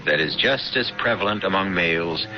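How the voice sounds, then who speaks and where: Male mystery narrator, scripted and dramatic, lively intonation, lower register, dull vintage radio sound. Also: normal speed, over music. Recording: muffled, noisy old radio broadcast recording.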